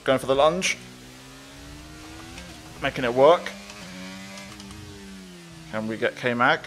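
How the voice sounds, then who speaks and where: A man giving live commentary on a game as he plays it, thinking out loud. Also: mid-range voice, animated, takes it slowly, soft background music. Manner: thinks aloud while playing. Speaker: gaming video creator, player.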